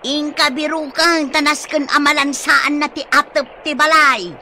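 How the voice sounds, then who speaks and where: A woman's voice: sits high, steady tone, normal speed, sharp tone, a little hiss or hum, full character voice. A woman acting a nagging, worried village wife and mother in an Ilocano radio comedy-drama, scolding in an exasperated way with an Ilocano accent.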